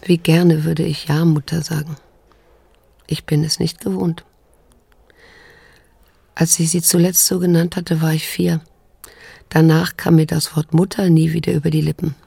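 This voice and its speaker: A woman of sixty in a radio drama, wounded but controlled. Her voice is husky and creaky, fairly low, and she speaks with careful, wary restraint. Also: level tone, mellow, no room sound, exaggerated voice. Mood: reflective, restrained. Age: 60s. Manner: measured, restrained, hesitant. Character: estranged sixty-year-old daughter, restrained, wounded, wary narrator. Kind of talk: German radio drama dialogue and first-person narration.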